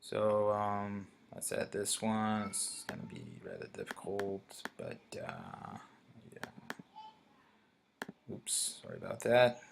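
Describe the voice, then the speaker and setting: A man from Brazil, a native Portuguese speaker with a Brazilian Portuguese accent, walks through a currency trade at his computer in a hesitant manner, pausing often and restarting sentences. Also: lowish voice, wildly swooping pitch, creaky, slight background noise. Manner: hesitant, many fillers and restarts. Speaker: forex trader. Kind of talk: screen-recorded trading walkthrough.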